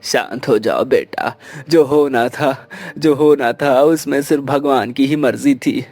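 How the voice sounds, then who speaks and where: A man voicing an older, sorrowful village father in a Hindi cartoon, speaking in a halting, solemn, consoling way. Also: mid-range voice, a little mellow. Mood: grieving, consoling. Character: sorrowful, steady village father consoling his grown sons. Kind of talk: Hindi cartoon story voice acting.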